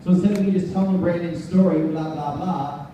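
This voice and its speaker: A man's voice: a little high, fairly even intonation, a little fast, mellow, dull audio. A man speaking as host, telling anecdotes in a casual, colloquial way to introduce another speaker. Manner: colloquial, storytelling. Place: large echoey room, before a live audience. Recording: very echoey room, distant mic, noisy.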